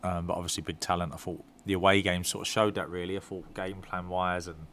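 A man in his thirties, a football manager at a press conference, giving measured answers with frequent ums and restarts into a desk mic. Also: sits low, little pitch movement, a bit bright, slight background noise. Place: club press room.

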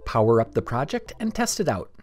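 A man reading a scripted DIY tutorial narration in a clear, step-by-step instructional way. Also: medium pitch, very animated, a little fast, darkish tone, faint music behind.

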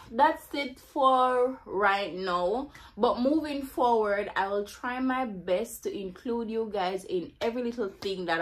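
A woman in her thirties talks to her phone camera at home in an unhurried, easygoing way. She laughs often and keeps her voice at a middling pitch while taking her time over every thought. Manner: relaxed, laughing, slow and easygoing. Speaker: vlogger. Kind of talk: casual chatty vlog. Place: bedroom and bathroom of a home. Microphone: phone camera held close.

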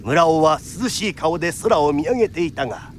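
An actor narrating a period radio drama as a theatrical kodan storyteller, formal and declamatory. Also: male voice, fairly high pitch, expressive, fairly quick, a little hiss or hum, lightly acted. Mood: dramatic narration. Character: lively traditional storyteller narrating a period tale.

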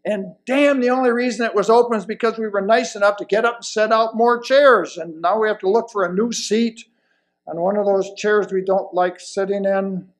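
An elderly man reading a sermon from a lectern, with deliberate, emphatic phrasing and pauses. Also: high voice, steady tone. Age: older, around 70s or 80s. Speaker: lay presenter reading a sermon. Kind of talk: sermon read from a pulpit. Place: indoors at a wooden lectern in a church hall. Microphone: lapel mic.

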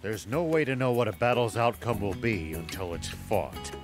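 A man voicing an older, steady war veteran in a video game, measured and resolute. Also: on the low side, music in the background, natural voice.

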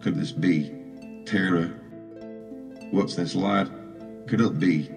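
A confident young male adventurer played by a man with a fairly low voice. He talks in a brash, casual way, as in a fantasy game, with music clearly behind him. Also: slow, full character voice. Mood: wondering. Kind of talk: fan dub game acting.

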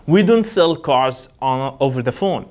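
A man's voice: medium pitch, animated, a little slow, darkish tone. Man giving an emphatic sales training talk. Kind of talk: instructional talk with acted example dialogues. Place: indoor studio with backdrop.

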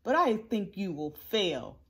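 A woman's voice: medium pitch, big pitch swings, slow, vocal fry, fairly bright tone, husky, full character voice. A woman voicing a mighty male eagle villain from a storybook, curt, sneering and taunting. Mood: sneering, defiant.

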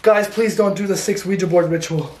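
A young man vlogging a staged paranormal challenge in a dark abandoned building at night, hoarse and panicked, talking in shaken, hushed bursts. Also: sits high, steady tone, brisk, reverberant, slight background noise. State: hoarse, complaining of a sore throat.

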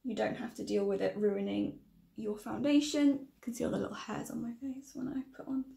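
Woman in her 30s, croaky n fry-heavy, rambly casual tutorial chat, bit of a roomy echo. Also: fairly high pitch, a little fast, warm tone. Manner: chatty, rambling, self-correcting. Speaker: makeup tutorial vlogger. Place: small room indoors.

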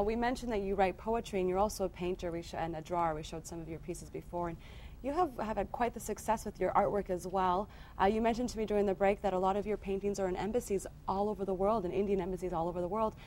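A woman's voice: medium pitch, fast talker, a little mellow, a little hiss or hum. A woman in her twenties co-hosting a daytime TV show in a studio, upbeat and enthusiastic in her questions. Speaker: TV show co-host. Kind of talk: daytime TV interview. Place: TV studio set.

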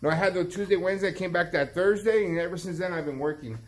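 A man's voice: high-pitched, fast talker. A middle-aged man chatting casually to his vlog viewers as a barber and shop owner, often repeating phrases for emphasis. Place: outside a storefront, later inside a barbershop. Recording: noisy ambient background.

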